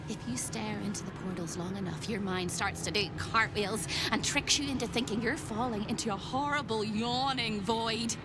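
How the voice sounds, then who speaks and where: A woman's voice: on the high side, moderate pace, hardly any pauses, natural voice. An actress playing an eccentric captive woman guide who talks in whimsical riddles in a video game cutscene. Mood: dreamy, eccentric. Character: cheerful, unhinged captive woman guiding visitors with riddles. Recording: loud ambient noise behind voice.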